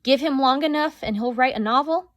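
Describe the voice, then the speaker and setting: A woman's voice: high-pitched, fairly quick, a bit bright, dry recording, clean studio sound, pitched above the performer's own voice. A woman voicing a tired, wry father in a storybook reading. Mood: skeptical. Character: tired, skeptical dad, wry and patient.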